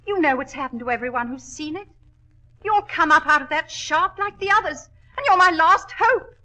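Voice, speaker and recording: An actress playing an anxious, determined widow who owns a mine, earnest and formal in a 1940s radio drama. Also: female voice, sits high, lively intonation, moderate pace, sharp tone, poor audio. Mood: pleading.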